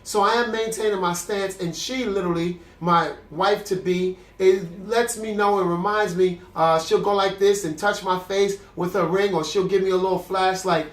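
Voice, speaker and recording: A man's voice: high voice, steady tone, moderate pace, hardly any pauses. A young adult man who is congested and has a cough, hosting a celibacy video series and talking candidly about faith in an earnest, preacherly way with frequent restarts. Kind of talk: candid faith talk to camera. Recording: echoey room.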